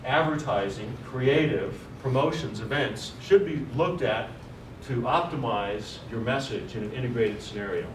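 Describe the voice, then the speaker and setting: A man giving a brisk conference talk on a panel, explaining points one after another. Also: medium pitch. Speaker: panel speaker. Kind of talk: conference presentation. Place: large office meeting room. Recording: echoey room, distant mic, noisy.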